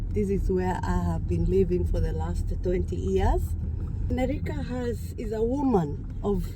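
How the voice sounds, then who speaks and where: A woman's voice: mid-range voice, measured pace. A Kenyan woman in her fifties with a Kikuyu accent, chatting casually to her viewers with many restarts. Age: 50s. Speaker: vlogger. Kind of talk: casual vlog talk to viewers. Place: outdoors near a busy street. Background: passing traffic.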